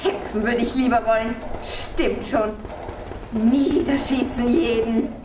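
A woman's voice: fairly high pitch, steady tone. A woman playing a frustrated young girl soldier who passes as a boy, in dramatic stage declamation. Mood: fierce. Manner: slow, dramatic delivery. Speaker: performer in a student music theatre piece. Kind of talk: experimental opera stage declamation. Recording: echoey, distant, clearly noisy room.

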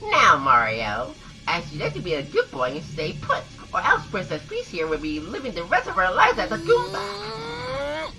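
A woman's voice: medium pitch, wildly swooping pitch, normal speed, vocal fry, poor audio. A woman voicing a scheming, snide turtle wizard in a cartoon fan dub, taunting and theatrical, with music behind. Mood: smug threatening.

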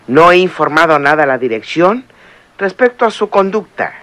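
A woman's voice: deep voice, very animated, normal speed, a bit bright. An actress playing a stern, scheming female head nurse who gives cold orders, in an old radio drama. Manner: authoritative, cold. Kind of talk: 1960s radio drama acting.

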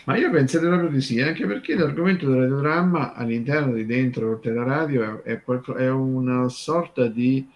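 A man co-hosting an unscripted radio conversation, talking in a halting, discursive way full of filler tags. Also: medium pitch, muffled recording. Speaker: radio show co-host.

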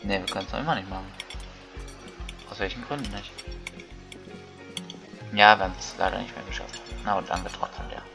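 A man's voice: low voice, fairly even intonation, a little fast, vocal fry, pauses often. A man giving casual let's play commentary, thinking aloud in colloquial speech. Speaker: gaming video creator. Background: game music.

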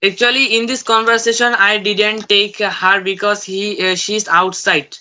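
A man's voice: sits high, level tone, moderate pace, bright, forceful tone. A teenage boy whose first language is Bangla, practicing English conversation on a video call from home, hesitant with many fillers. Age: teens. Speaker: student learner. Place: room at home. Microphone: phone on a video call.

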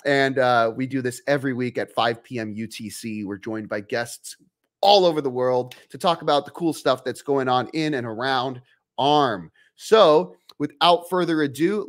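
Upbeat man hosting with a stuffy nose. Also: medium pitch. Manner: upbeat, energetic, gestures while talking. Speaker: host. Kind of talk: live stream hosting. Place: home workshop studio. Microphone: headphones and studio mic on boom.

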